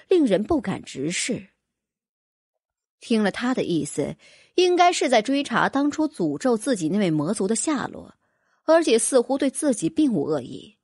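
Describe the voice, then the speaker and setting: A woman's voice: on the high side, darkish tone, no echo, clean studio sound. A woman narrating a fantasy audiobook in a dramatic, scripted storytelling style.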